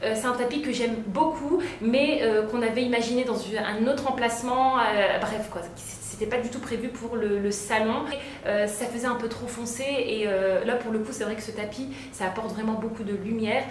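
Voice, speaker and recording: Woman vlogger, casual chat, fairly high voice, roomy echo, faint noise. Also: normal speed. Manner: lively hand gestures, many fillers and restarts. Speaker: home decor vlogger. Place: bright living room, indoors.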